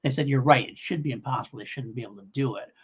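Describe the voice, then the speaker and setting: Male review co-host: conversational, meandering explainer, agreeable, average pitch, somewhat muffled recording. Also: rapid-fire, husky. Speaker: podcast co-host. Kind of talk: casual audio drama review.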